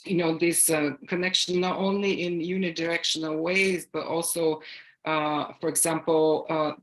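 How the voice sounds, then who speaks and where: A woman from Bulgaria answering an audience question on a video call, speaking haltingly with many fillers and restarts. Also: low voice, level tone, poor audio. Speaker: guest speaker.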